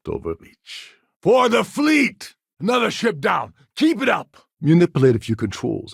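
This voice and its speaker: An actor playing a gruff, cynical, self-serving space pirate in a video game, with a gravelly voice and a wry, sardonic, world-weary manner. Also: male voice, fairly low pitch, no echo, clean studio sound, exaggerated voice. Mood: sardonic, grudging, then battle shouting, then sly, conspiratorial. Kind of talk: game character dialogue lines.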